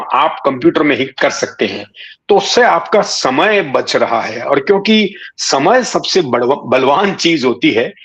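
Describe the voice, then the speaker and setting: A man, giving measured, reflective interview answers phrase by phrase. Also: a little high, fairly bright tone, dull audio. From India. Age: 60s. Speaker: interview guest, writer and former broadcaster.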